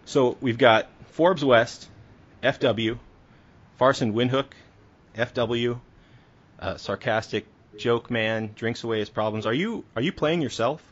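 A man's voice: medium pitch, measured pace, lo-fi sound. A man working as a podcast interviewer, asking questions in a conversational style.

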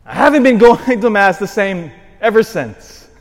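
Animated priest preaching in a reverberant church. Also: male voice, high-pitched, normal speed. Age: 40s. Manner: animated, swings from shouted emphasis to quiet asides. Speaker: parish priest. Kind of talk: sermon.

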